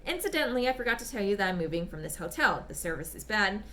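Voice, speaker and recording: A woman voicing a smitten, bashful gentleman writing polite, apologetic, ardent love letters in a dramatic reading. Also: medium pitch, very animated, quick pace. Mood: pleading, flustered. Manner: polite, apologetic, ardent, old-fashioned phrasing. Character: smitten, bashful, gushing gentleman letter writer back from China.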